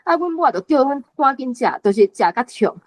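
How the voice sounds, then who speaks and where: High-pitched Taiwanese woman in her late fifties. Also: expressive, fairly quick. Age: late 50s. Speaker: guest panelist. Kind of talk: conversational literary discussion with personal anecdotes.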